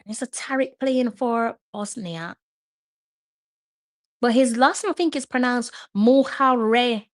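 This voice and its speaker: A woman's voice: on the high side, a little slow, no room sound, studio quality. A woman hosting a live match watch-along, chatting and musing aloud as she reads up on players. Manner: chatty, muses aloud while reading up on players. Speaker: host.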